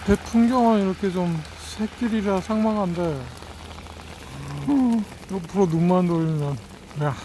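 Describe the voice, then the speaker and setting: Slow, musing vlogger over clear outdoor noise. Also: mid-range voice. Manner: musing to self, murmured exclamations. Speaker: travel vlogger. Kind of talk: casual, spontaneous commentary while sightseeing. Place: outdoors on a bridge and harbour waterfront in rain. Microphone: handheld phone or camera held by speaker. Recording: noisy outdoor phone recording, rain and traffic.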